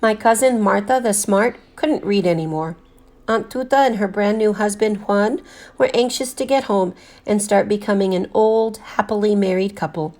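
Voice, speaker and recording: Woman reading a children's storybook aloud. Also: medium pitch. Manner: animated delivery with deliberate emphasis for children. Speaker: teacher reading a picture book aloud to students.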